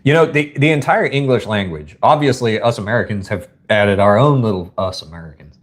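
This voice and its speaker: A man's voice: lowish voice, expressive, fairly quick. A man with a Southern US accent, hosting a live stream at home in a folksy, rambling chat. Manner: rambling, folksy, says y'all and ain't. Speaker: livestream host.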